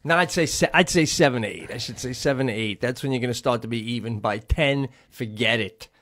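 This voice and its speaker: A man's voice: mid-range voice, a little fast, fairly bright tone. A man co-hosting a podcast, talking emphatically in casual chat. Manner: emphatic, addresses listener as bro. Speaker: podcast co-host.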